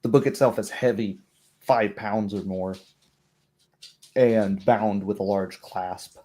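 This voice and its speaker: A man narrating a tabletop roleplay as game master in a measured, descriptive way. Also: fairly low pitch, steady tone, warm tone.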